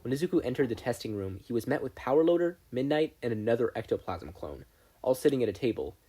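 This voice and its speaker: Expressive, husky-voiced story narrator. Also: medium pitch, fast talker. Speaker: podfic narrator.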